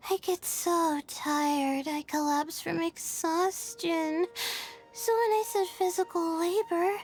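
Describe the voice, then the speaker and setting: Casting: shy, exhausted young student, very high soft girlish voice, slow hesitant delivery, video game. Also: steady tone, soft background music, exaggerated voice. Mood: embarrassed, weary. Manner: hesitant, drowsy, self-effacing. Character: sleepy, timid young female student, low on energy.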